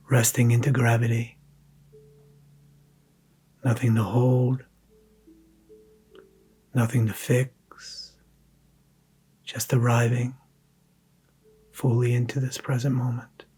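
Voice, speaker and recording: A man guiding a scripted meditation in a soft, hushed, breathy manner, leaving long pauses between phrases. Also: medium pitch, monotone, faint music behind. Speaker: meditation guide.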